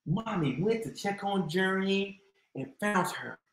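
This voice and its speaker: Animated American man reading to children, high-pitched. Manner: theatrical, exclaiming, drawn-out delivery. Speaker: children's storyteller and librarian. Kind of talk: animated read-aloud for young children. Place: small indoor room. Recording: roomy echo.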